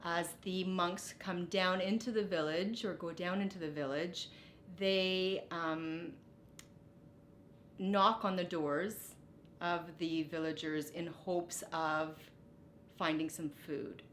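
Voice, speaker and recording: Slow-reading woman in an echoey room. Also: fairly even intonation, fairly bright tone. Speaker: teacher reading a picture book aloud. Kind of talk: storybook read-aloud with teaching asides. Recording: roomy echo, faint rumbling background noise.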